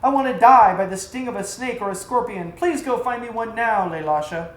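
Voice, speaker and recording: A woman's voice: a little high, a little fast, natural voice. A woman voicing a despairing, self-pitying male caravan navigator in a storybook tale, lamenting grandly. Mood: despairing, betrayed. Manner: melodramatic, lamenting. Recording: echoey room, faint background noise.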